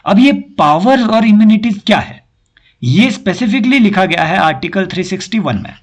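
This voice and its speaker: A young man with a hoarse throat teaching an exam-prep lecture, repeating key points and checking that his listeners follow. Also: high voice, lively intonation. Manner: mixes Hindi with slow English phrases. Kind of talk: recorded lecture.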